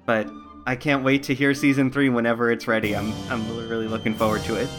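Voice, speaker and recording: Encouraging man with a medium-pitched voice. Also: flat delivery, quick pace, over music. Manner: encouraging, polite. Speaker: podcast interviewer. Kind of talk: casual interview hosting.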